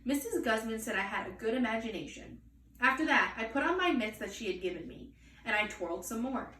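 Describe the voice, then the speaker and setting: Higher-voiced woman reading aloud, echoey room. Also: lively intonation, a little fast, bright, forceful tone. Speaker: children's story reader. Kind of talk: expressive storybook read-aloud. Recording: echoey room, distant mic, faint noise.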